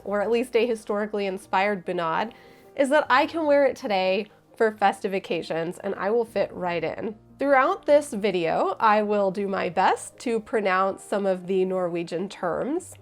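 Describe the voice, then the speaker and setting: Young american woman narrating her sewing vlog, middle pitch, bit of music behind. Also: lively intonation, normal speed. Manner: earnest, explanatory. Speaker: costume maker.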